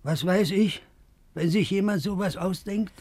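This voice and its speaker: An actor playing a troubled, brooding ordinary man in a radio play, confiding in a husky, breathy voice. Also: high-pitched, unhurried, a little mellow. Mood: troubled, suspicious. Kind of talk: 1950s German radio play acting.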